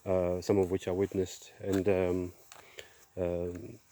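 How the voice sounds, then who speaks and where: A middle-aged man giving a personal testimony outdoors, speaking in a halting, measured way with pauses. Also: low-pitched, monotone, dark tone, slight background noise. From Zimbabwe, born in Zambia. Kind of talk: personal testimony, casual monologue. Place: outdoors, on a walk.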